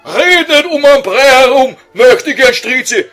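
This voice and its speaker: A man's voice: very high voice, expressive, measured pace, fairly bright tone, soft background music, exaggerated voice. A man voicing a grumpy, tormented old scholar, whining and exasperated, with a Viennese accent in a comic radio sketch. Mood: impatient, angry.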